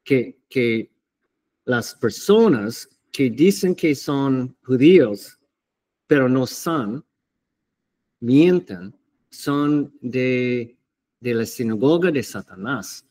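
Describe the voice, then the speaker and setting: Very slow, halting man teaching the Bible. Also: mid-range voice, animated, a little mellow. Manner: hesitant, halting, many fillers and restarts. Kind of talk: unscripted Bible teaching to camera.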